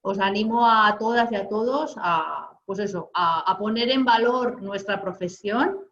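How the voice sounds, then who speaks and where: A woman wraps up an interview, measured and courteous with a few restarts. Her voice carries a roomy echo, and the audio sounds dull and lo-fi. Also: mid-range voice, a bit bright. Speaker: host for the manufacturer. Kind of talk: formal wrap-up interview.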